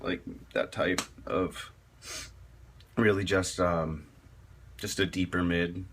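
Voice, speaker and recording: A man giving a casual spoken tutorial on metal vocal technique, rambling and halting with many fillers and restarts. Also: low-pitched, fairly even intonation, background noise.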